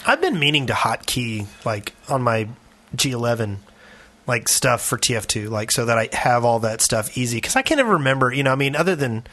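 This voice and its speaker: A man's voice: medium pitch, animated, fairly quick, bright, forceful tone. A man co-hosting a gaming podcast in an informal, chatty way. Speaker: podcast co-host. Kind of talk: casual gaming podcast chat.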